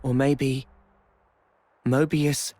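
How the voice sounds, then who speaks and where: Easygoing, mid-pitched young soldier. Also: male voice, little pitch movement, very slow, a little mellow. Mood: earnest. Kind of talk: video game voice acting. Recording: music behind.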